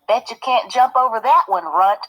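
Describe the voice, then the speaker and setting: Woman voicing a bossy, high-pitched taunting boy. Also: moderate pace, a bit bright, poor audio. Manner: challenging, demanding. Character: bossy, taunting older brother, boy in storybook.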